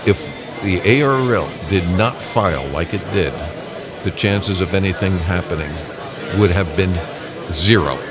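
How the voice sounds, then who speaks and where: A man's voice: deep voice, unhurried. A man speaking as a guest in a casual live interview, explaining his points emphatically and step by step. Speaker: amateur radio association representative, interview guest. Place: busy convention event. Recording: busy convention crowd noise behind. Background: crowd chatter.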